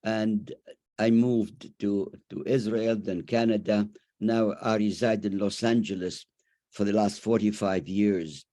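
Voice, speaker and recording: A man in his nineties from Iraq, speaking haltingly as he comments and asks questions in an online discussion. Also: lowish voice, steady tone, warm tone. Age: over 90. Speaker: audience member, memoir author. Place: remote online video call.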